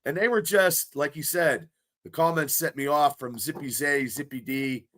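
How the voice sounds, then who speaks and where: Excitable, stuffy-nosed sports podcaster man. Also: on the high side, normal speed. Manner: excitable, rambling with many restarts and fillers. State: stuffy nose. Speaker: podcast co-host. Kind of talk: casual sports podcast chat.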